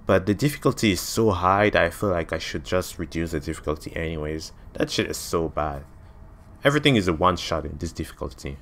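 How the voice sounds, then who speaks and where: A man's voice: low-pitched, animated, a little fast, fairly bright tone, slight background noise. A man in his twenties, a native French speaker with a French accent, giving casual, blunt gameplay commentary and swearing freely. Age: 20s. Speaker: gamer.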